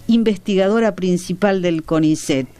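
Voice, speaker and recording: A radio co-host with a Rioplatense Argentine accent and a mellow, dark tone. The sound is very dry and close, and the delivery is that of a presenter giving an introduction, partly read. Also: mid-range voice, normal speed, clear, resonant tone, no room sound.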